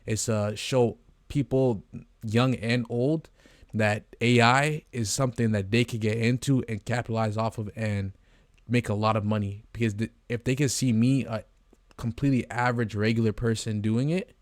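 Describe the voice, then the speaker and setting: A man's voice: on the low side, fairly even intonation, normal speed, no echo, studio quality. An eighteen-year-old man from Canada talking casually to camera in a rambling, earnest way, with many fillers and restarts. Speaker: vlogger, agency co-founder. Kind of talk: casual talking-head vlog.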